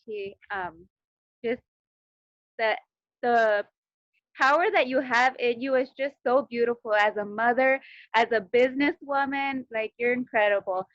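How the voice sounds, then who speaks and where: Woman, 30s, halting affirming testimonial, slightly high voice, dry video-call audio. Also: fairly even intonation, no room sound. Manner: warm, affirming, halting with fillers. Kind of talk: personal testimonial on a group call. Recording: dry, dull lo-fi sound.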